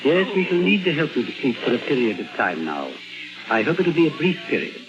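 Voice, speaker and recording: Actor as animated, probing doctor on old radio. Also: male voice, mid-range voice, natural voice. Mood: firm, measured. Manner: theatrical, deliberate questioning. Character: probing, self-assured male psychiatrist, firm but caring. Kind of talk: 1950s radio drama acting. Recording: muffled vintage radio recording with music.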